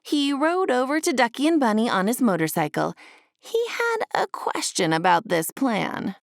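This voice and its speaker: Woman, children's story narration, animated intonation, some creak, close dry recording. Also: fairly high pitch, normal speed, dry recording.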